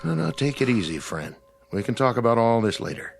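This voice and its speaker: An animated, folksy diner owner. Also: male voice, mid-range voice, fairly quick, gravelly, soft background music. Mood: soothing. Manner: folksy, easygoing, plain-spoken. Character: friendly, puzzled roadside diner owner, plain-spoken husband. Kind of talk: radio drama acting.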